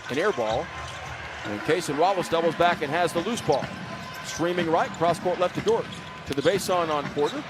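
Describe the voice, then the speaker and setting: Male sports radio play-by-play, high voice, clipped vivid phrasing, music behind. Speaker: basketball play-by-play announcer. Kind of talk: live radio play-by-play commentary. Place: sports arena broadcast.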